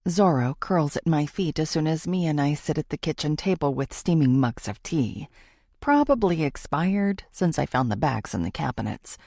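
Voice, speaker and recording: Creaky, expressive female audiobook narrator. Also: low-pitched, no room sound, clean studio sound. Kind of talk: scripted first-person thriller narration.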